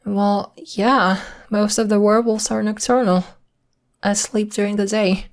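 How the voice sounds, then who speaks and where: A woman acts out a young female half-werewolf for an intimate ASMR roleplay. She speaks very slowly at a medium pitch, gentle and a little hesitant. She comes across as polite, guarded and protective, explaining things earnestly to one listener. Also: fairly even intonation, close to an everyday voice. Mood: relaxed.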